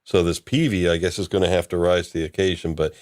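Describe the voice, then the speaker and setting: Very deep-voiced man, casual and halting. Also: steady tone, a little mellow, dry recording. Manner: halting, loosely improvised. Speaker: host of a discussion show. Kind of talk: casual recap discussion.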